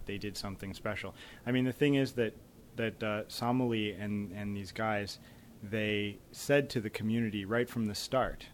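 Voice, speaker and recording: An American man, a company head, answering interview questions in a measured, explanatory way. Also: fairly low pitch, slight background noise. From United States. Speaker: company CEO guest. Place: conference summit venue.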